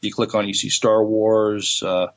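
Casual male co-host, slightly low voice. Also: flat delivery, a little slow. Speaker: podcast co-host. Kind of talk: casual podcast talk.

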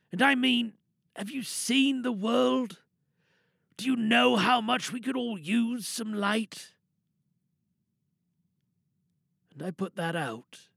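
A man acting a remorseful, guilt-laden confessor in an audio drama monologue, reflective and halting, with a creaky voice. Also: sits high, sharp tone, no echo, clean studio sound, full character voice. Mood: wistful, guilty. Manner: halting, reflective, plain-spoken. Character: remorseful man confessing to having taken a woman's life.

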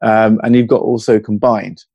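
A man's voice: on the low side, steady tone, normal speed, gravelly, dark tone, breathy edge, no echo, muffled recording. A man with a Hertfordshire accent, a podcast guest chatting casually who rambles from one thought to the next and laughs easily. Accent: Hertfordshire English. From England. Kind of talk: casual interview over a call.

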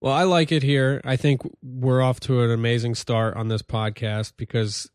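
A man with a nasal voice co-hosting a casual comedy podcast in an apartment, making deadpan, ironic jokes. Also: medium pitch, moderate pace, clear, resonant tone, dry recording, clean studio sound. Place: apartment room.

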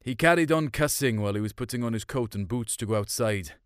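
A man's voice: medium pitch, fast talker, clean tone, dry recording. A man reads a first-person story. His delivery is colloquial and confiding, like someone recounting events. The sound is dry, with very little room around the voice. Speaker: short story reader.

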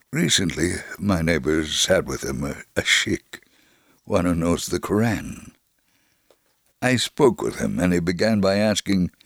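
A man narrates a devotional testimony in a low voice, very slowly and deliberately. The delivery is earnest and measured, and the recording is dry and close. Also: dry recording. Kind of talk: scripted first-person narration.

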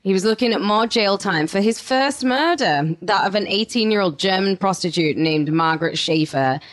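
A woman's voice: mid-range voice, animated, normal speed. A woman co-hosting a true-crime podcast, telling the story with playful asides. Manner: laughs often, playful asides. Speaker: podcast co-host.

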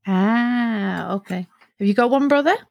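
A woman's voice: a little high, measured pace, creaky, dry recording, dull audio. A middle-aged woman hosting a podcast, patiently asking a child simple, encouraging follow-up questions. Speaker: podcast host interviewing a child. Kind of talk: friendly interview questions.

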